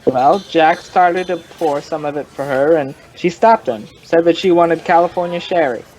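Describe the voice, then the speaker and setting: An actor playing a folksy, rambling male informant who tells his story in colloquial, drawn-out fashion, in old-time radio crime drama style. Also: on the high side, darkish tone, slight background noise, lightly acted, rough recording. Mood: chatty.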